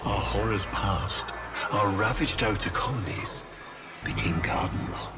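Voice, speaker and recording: An actor playing a cold, imperious aristocratic villain in a video game, speaking deliberately and theatrically over music. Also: male voice, fairly low pitch, natural voice. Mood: grave, bitter. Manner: slow, deliberate, menacing theatrical delivery. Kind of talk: dramatic video game monologue. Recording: loud music under the voice.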